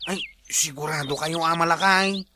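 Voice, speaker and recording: A man's voice: on the high side, fairly even intonation, normal speed, close to an everyday voice. A man playing a doubtful villager in a comedy drama. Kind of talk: comedy radio drama acting in Ilocano. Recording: faint noise with chirping birds.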